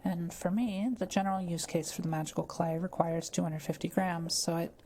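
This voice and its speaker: A kind forest witch, played by a woman, speaks in a slightly low voice with plenty of vocal fry. She is gentle and patient, explaining like a scholar in an intimate audio roleplay. Faint background noise sits behind her. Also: steady tone, a little fast, close to an everyday voice. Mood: patient, explanatory.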